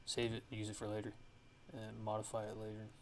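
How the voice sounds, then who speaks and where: A man giving a casual ASMR music production tutorial, thinking aloud in a halting way as he works. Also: on the low side, monotone, a little mellow, slight background noise.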